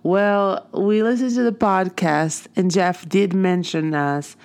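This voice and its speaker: A woman's voice: medium pitch, fairly even intonation, slow pace, a little mellow. A woman with a Portuguese accent, whose first language is Portuguese, telling a personal story in an earnest, heartfelt motivational talk as an artist and art teacher.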